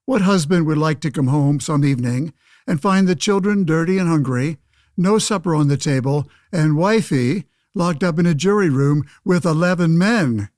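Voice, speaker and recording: An actor playing a pompous, old-fashioned senator of 1911, speechifying against suffrage in a radio play. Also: male voice, fairly high pitch, darkish tone, no echo, clean studio sound, natural voice. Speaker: debate guest. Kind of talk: pseudo-radio play, oratorical debate.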